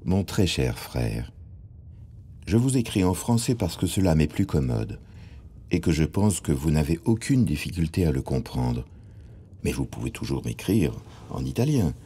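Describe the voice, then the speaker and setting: An eighteenth-century mathematician writing home. He is formal and dignified, with a very deep, dark and husky tone. Each phrase comes measured and courteous. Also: big pitch swings, exaggerated voice. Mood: warm, formal. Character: 18th-century male mathematician writing fondly to his brother. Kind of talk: read-aloud historical letter.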